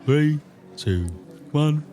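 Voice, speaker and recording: A man playing a gentle, modest sidekick to a crook, mild and literal, in an improvised tabletop game. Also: fairly high pitch, lively intonation, extremely slow, over loud music.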